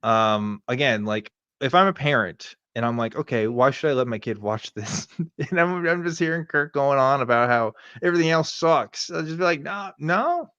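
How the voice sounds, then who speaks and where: A man's voice: medium pitch, lively intonation, normal speed, few pauses, fairly bright tone, smooth, no room sound, highs cut off. A man co-hosting a casual reaction show, giving sardonic commentary and slipping into mock quotes. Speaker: show co-host.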